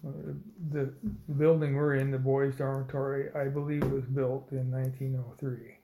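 Older man with a flat, gravelly voice. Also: medium pitch, reverberant, slight background noise, poor audio. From Indiana, United States. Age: 70s. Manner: slow, hesitant storytelling with many fillers. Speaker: interviewee. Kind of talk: oral history interview. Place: old building, a former dormitory.

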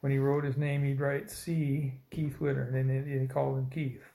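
A man in his seventies from Indiana recalls his past in an oral history interview, pausing often on fillers. His voice is gravelly and stays level in pitch. The recording carries a roomy echo. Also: level tone, warm tone. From Indiana, United States. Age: 70s. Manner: slow, hesitant storytelling with many fillers. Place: old building, a former dormitory.

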